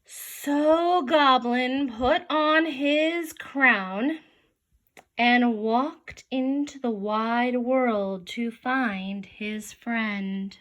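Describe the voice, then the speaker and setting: A woman reading a children's picture book aloud in an expressive narrating style. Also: on the high side, extremely slow, low-quality recording. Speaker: storybook reader.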